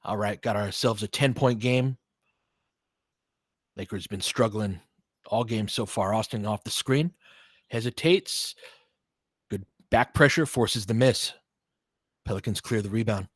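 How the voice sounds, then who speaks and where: A man's voice: fairly low pitch, a little slow, pauses often, no echo. Man calling basketball, dry close sound. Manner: clipped short phrases, conversational asides. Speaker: play-by-play commentator and host. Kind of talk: casual live basketball play-by-play with analysis.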